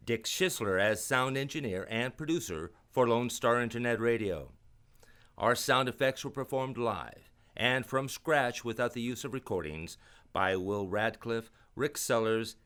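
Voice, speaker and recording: A man's voice: medium pitch, fairly bright tone, clean tone. A man announcing for an old-time radio show in a scripted presentational style.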